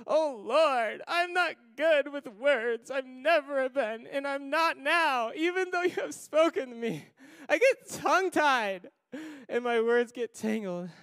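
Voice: sits high, lively intonation, slow pace, a bit bright, no room sound, pitched above the performer's own voice, exaggerated voice. A young preacher voicing Moses as a timid, anxious man, whining and pleading that he cannot speak. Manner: whiny, pleading, self-doubting.

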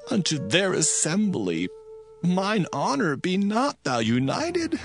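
A man's voice: fairly high pitch, vocal fry, exaggerated voice. An actor playing a dying aged patriarch in a dramatized scripture reading, solemn, fatherly and declamatory. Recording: music bed under the voice.

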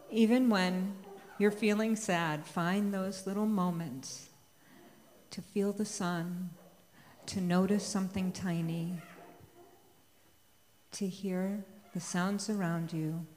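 A woman's voice: fairly low pitch, a little mellow. A middle-aged woman leading a church service and giving its closing words. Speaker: worship leader at a church. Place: large church sanctuary, congregation present. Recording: reverberant church hall with faint noise.

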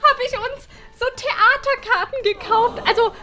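A young woman playing a gushing, self-absorbed esoteric flatmate, effusive, theatrical and rambling. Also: very high voice, measured pace, over music. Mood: earnest, rambling. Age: young adult. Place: furnished living room indoors.

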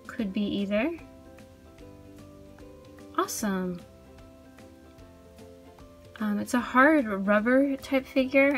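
A young woman hosts a toy unboxing in a casual, chatty style. Her mid-pitched voice is chirpy and gushes over cute things. Soft music plays faintly behind her as she talks. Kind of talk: casual unboxing review chat. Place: indoors at a tabletop display. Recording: background music under voice.

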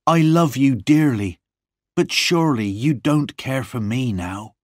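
A man's voice: mid-range voice, slow, no room sound, studio quality, pitched above the performer's own voice, lightly acted. A man voicing a devoted, humble young farmer in love, with a heartfelt and earnest manner, in a storybook reading. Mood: passionate.

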